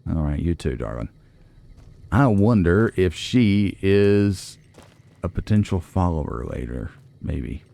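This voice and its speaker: A man's voice: sits low, sing-song, takes it slowly, gravelly, dark tone. A man hosting a casual video game playthrough, musing aloud and making wry asides to viewers. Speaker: let's play host. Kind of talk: casual game commentary, reading and reacting aloud.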